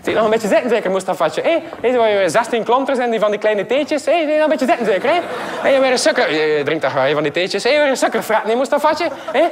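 A man with a Flemish accent playing an overbearing, teasing neighbour in a stand-up bit, shouty, repetitive and needling. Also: extremely high pitch, expressive, fast, a faint crowd. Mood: pushy teasing. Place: large theatre stage.

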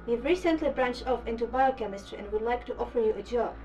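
An actress playing a formal, businesslike company doctor in an audio drama, recorded slightly distant. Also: female voice, fairly high pitch, quick pace, darkish tone, faint music behind, natural voice. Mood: formal, composed. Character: formal woman doctor recruiting for a secretive company. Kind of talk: naturalistic audio drama acting.

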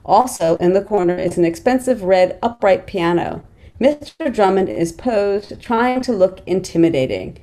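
A woman's voice: medium pitch, animated, moderate pace, mellow. A middle-aged woman reading stage directions as scripted narration over a video call. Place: small plain room at home, on video call.

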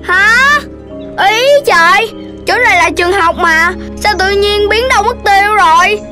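Chubby, lazy cartoon schoolkid: very high voice, Southern Vietnamese accent, whiny and theatrical. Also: a little slow, over music. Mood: astonished. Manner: exclamatory, whiny, dramatic. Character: excitable, scheming, lazy chubby little schoolkid, nicknamed Big Belly. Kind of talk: Vietnamese children's 3D cartoon dubbing.

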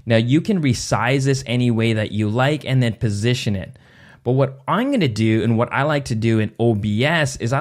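Casual man walking through software steps. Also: medium pitch. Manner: casual step-by-step explaining with restarts. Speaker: tutorial host. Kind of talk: screen-recorded software tutorial. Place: small home studio indoors. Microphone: boom mic on arm near face.

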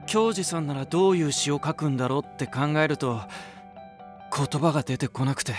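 A man's voice: fairly high pitch, a little fast, soft background music, close to an everyday voice. An actor playing an earnest, polite young male rock vocalist in an anime voice drama, serious and youthful in manner. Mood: hesitant, serious. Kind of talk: Japanese anime voice drama acting.

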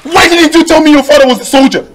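An excitable young Nigerian in a film drama. His delivery leaps about, repeating words in bursts, by turns cowardly and bullying. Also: very high voice, fairly quick, echoey room, heavy background noise. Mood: despairing rage. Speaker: actor. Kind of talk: Nollywood film drama acting.

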